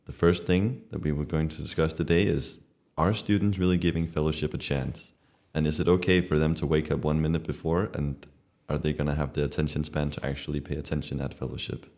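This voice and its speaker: Very deep-voiced young male host. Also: steady tone, brisk, hardly any pauses, darkish tone. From Denmark. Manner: plain, guiding questions. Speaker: podcast host. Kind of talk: hosting a student podcast.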